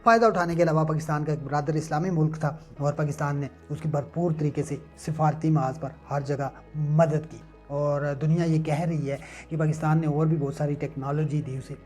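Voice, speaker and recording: A man's voice: on the high side, steady tone, a little fast, few pauses, darkish tone. A man delivering news analysis as an online commentator, emphatic and rhetorical, moving between scripted lines and casual asides. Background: music.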